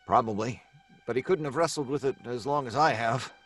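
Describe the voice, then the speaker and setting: An actor playing a nervous, weary, eccentric novelist in an old-time radio mystery, speaking hesitantly with stammers and self-deprecation. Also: male voice, medium pitch, fairly quick, soft background music, lightly acted. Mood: weary, self-deprecating.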